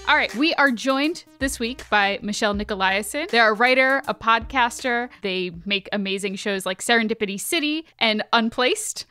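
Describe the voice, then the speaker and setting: A woman's voice: a little high, normal speed, few pauses, bright, forceful tone, clear, resonant tone, faint music behind. A woman co-hosting a podcast, chatting casually with her guest and listeners. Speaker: podcast co-host. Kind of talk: casual chat.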